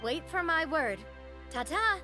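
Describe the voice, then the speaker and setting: A woman's voice: very high voice, expressive, measured pace. A woman playing a breezy, confident young gang leader in a video game, playful and self-assured. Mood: breezy, teasing. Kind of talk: scripted video game voice acting. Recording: music behind the voice.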